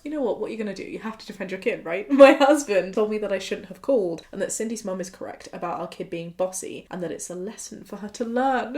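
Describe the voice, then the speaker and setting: A woman hosting her channel in a casual chat, slightly nasal from a cold, bubbly and quick to laugh. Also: fairly high pitch, darkish tone. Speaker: YouTube host. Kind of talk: casual chat reading a forum post.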